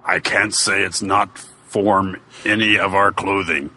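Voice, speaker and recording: A man voicing a solemn, honest undead male wrestler in a dramatic fan fiction reading, deep-voiced with a grave, stilted delivery. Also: steady tone, slow pace, pushed and bright, heavily acted. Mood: solemn, defensive. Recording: noticeable background noise.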